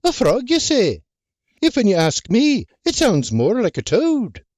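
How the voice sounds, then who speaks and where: A narrator voicing a bossy young farm wife who fusses and scolds with a sharp tongue. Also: female voice, a little high, huge pitch swings, a little slow, voice pushed up, exaggerated voice. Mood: fussing.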